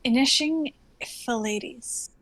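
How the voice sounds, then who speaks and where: Cold, high-voiced woman playing a laboratory AI. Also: no echo, full character voice, rough recording. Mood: impassive. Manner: flat, measured, robotic announcements. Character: female laboratory AI, cold, obedient, impassive. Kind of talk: live dramatic script reading.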